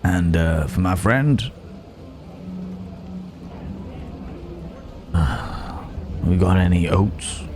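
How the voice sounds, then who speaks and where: A man's voice: sits low, noisy background. A man playing a roguish, genteel Wild West stranger in an ASMR roleplay, wry and musing, speaking straight to the listener. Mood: polite, cheery. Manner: unhurried, wry, musing, with drawn-out pauses.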